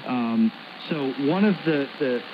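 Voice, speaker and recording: A man gives a casual, conversational interview as a radio guest, in a mid-pitched voice. He comes through a dull, lo-fi shortwave broadcast with very loud noise over it. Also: animated, takes it slowly. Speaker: guest, amateur radio video maker.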